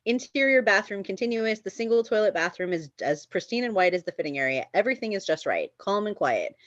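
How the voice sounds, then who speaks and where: A woman's voice: medium pitch, expressive, fast, dry recording, muffled recording. A woman reading screenplay stage directions as the narrator of a table read.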